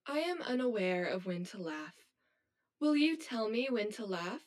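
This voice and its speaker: A woman playing a cold, blunt high-achieving schoolgirl who talks in a stiff, formal, robotic way in an audio drama. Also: very animated, a little slow. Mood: flat, detached. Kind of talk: scripted audio drama acting.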